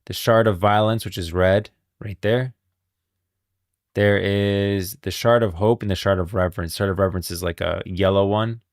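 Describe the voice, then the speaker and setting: A man's voice: on the low side, steady tone, normal speed, no echo, clean studio sound. A man hosting a livestream, talking casually about game lore and rambling as he thinks aloud. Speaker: livestream host.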